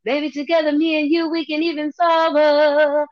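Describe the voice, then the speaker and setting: A woman's voice: high voice, level tone, normal speed. A woman guest storyteller and poet, speaking in a lyrical spoken-word way. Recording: dull, lo-fi audio.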